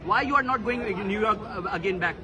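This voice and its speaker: Brief: quick, blunt street interviewer, a crowd clearly audible behind. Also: medium pitch, music in the background. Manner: blunt, rapid questions in broken English.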